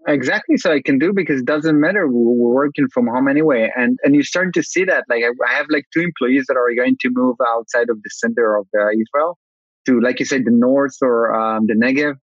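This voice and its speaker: Relaxed man on a dull, lo-fi video call. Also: mid-range voice, a little fast, dry recording. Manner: relaxed, many ums and fillers. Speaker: guest, company CEO. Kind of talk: casual video-call interview.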